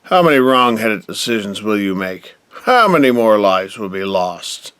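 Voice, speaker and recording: A man's voice: lowish voice, very animated, measured pace, fairly bright tone, clean tone, no echo. A man plays a contemptuous, wealthy middle-aged father figure in a radio drama. His voice is clean and resonant, recorded dry, with formal, scolding, pompous phrasing. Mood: angry, accusing. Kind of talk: theatrical audio drama acting.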